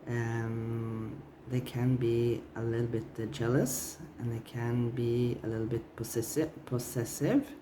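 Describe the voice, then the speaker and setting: A man's voice: mid-range voice, steady tone, measured pace. A man giving a tarot reading with a scratchy, strained voice, hesitant and full of fillers and restarts. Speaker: tarot reader.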